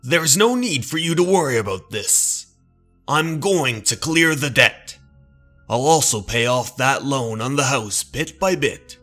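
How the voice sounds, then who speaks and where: A stern middle-aged father acted out by a man in a horror manga dub. He speaks firmly and emphatically, proud and quick to dismiss. Faint music runs behind him. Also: medium pitch, lively intonation, sharp tone. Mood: stern, insistent.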